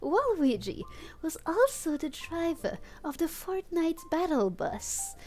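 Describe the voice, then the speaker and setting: A woman's voice: very high-pitched, sing-song, slow, darkish tone, soft background music. A woman streamer reading fan fiction aloud in a casual, comedic way, easily cracked up and commenting with amusement as she goes. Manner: giggly, easily cracked up, acts out dialogue.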